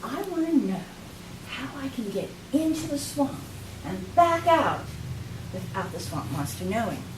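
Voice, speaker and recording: A woman's voice: on the high side, sing-song, measured pace. A woman voicing a clever, bold young male hero in a folk tale, speaking plainly and confidently. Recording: echoey room, far from mic, noisy.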